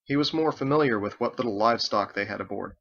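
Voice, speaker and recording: A man's voice: medium pitch, fast talker, creaky, a bit bright, dull audio. A man who is an author reads his own fiction as a scripted audiobook narration. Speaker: author reading own book.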